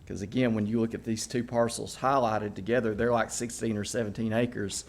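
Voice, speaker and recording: Cautious low-voiced man, hedging. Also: little pitch movement, brisk. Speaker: county staff answering commissioners. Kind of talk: answering questions at a county meeting.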